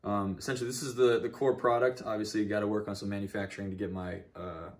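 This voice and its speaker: A young man pitching his clothing brand in a basement shop, hesitant with many fillers, filmed on a phone a few steps away. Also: far from the mic. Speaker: guest client, brand founder. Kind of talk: pitching his product to camera. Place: home basement print shop. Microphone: phone camera a few steps away.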